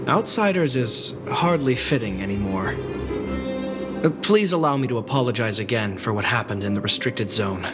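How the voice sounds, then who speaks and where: A man's voice: medium pitch, lively intonation, moderate pace, over music, close to an everyday voice. A man playing a polite, earnest, formal guard officer in a video game cutscene. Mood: courteous, earnest.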